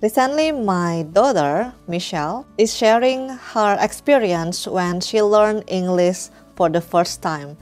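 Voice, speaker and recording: A woman's voice: medium pitch, unhurried, few pauses, darkish tone, soft background music. Indonesian-accented woman teaching English. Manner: friendly, anecdotal, with easy laughs and restarts. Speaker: English teacher, channel host. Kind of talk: casual teaching talk.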